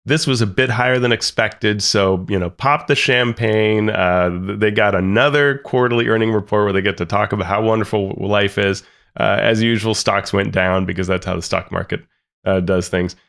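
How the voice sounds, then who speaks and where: A man's voice: on the low side, moderate pace, creaky. A man co-hosting a tabletop gaming podcast, casually running through earnings figures with dry asides. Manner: relaxed, reads figures off notes with dry asides. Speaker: podcast co-host. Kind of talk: casual news discussion.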